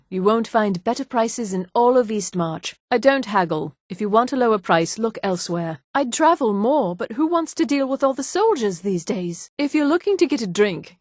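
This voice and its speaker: An actress voicing a vain, scheming female high elf for a fantasy video game, with a haughty, poised, condescending manner. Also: no room sound, studio quality, natural voice. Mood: brisk, selling. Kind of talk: scripted video game character dialogue.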